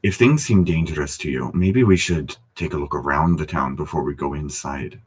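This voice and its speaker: A man's voice: very deep voice, moderate pace, pitched below the performer's own voice. A man voicing a chatty, jovial male dwarf traveler in a tabletop game, rambling with restarts. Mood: easygoing. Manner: rambling, restarts, chuckling asides. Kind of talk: tabletop roleplay in character.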